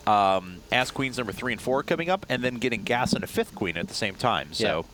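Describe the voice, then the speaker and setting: A man's voice: lowish voice, animated, fairly quick. A man calling a strategy game match live, stuffed up from a cold. His voice rises and falls a lot as he jokes and rambles through filler words. A faint noise sits under the recording. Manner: chatty, joking, rambling with many fillers. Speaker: esports caster.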